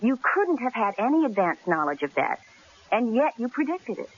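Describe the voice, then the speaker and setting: Teasing secretary, animated swooping delivery, curious, arch, radio drama, muffled old recording. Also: female voice, on the high side, moderate pace. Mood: curious, probing. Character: pert, inquisitive female newspaper secretary, teasing and admiring.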